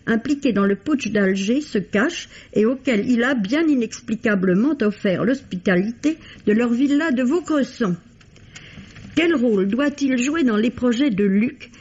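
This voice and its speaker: A woman literary critic reading a scripted radio book review in a measured, formal way. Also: on the high side, darkish tone, slightly rough. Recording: dull old radio recording.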